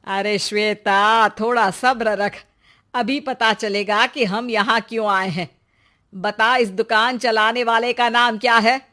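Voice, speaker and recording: A woman voicing a shrewd, calm older mother-in-law in a cartoon story, speaking with deliberate authority. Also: on the high side. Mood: commanding. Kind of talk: Hindi cartoon story dialogue.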